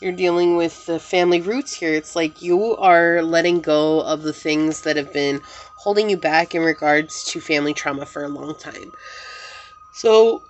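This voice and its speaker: Soft-spoken tarot reader, a woman with a low voice. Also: normal speed, highs cut off, a little hiss or hum. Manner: gentle, reassuring, speaks in affirmations to the viewer. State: slightly congested, recovering from a cold. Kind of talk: pick-a-card tarot reading to camera.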